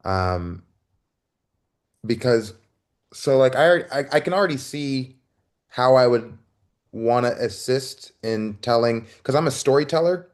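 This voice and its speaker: A man interviewing a guest, a self-described storyteller who asks his questions in a deliberate, word-searching way. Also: mid-range voice, animated. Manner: slow and deliberate, searching for words. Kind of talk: conversational interview.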